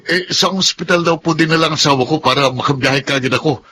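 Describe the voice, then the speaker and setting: Bright-voiced, troubled husband. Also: male voice, a little high, fast, slight background noise. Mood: alarmed. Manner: emotional, earnest. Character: troubled husband, expectant father, earnest and wounded. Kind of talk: Filipino melodrama acting.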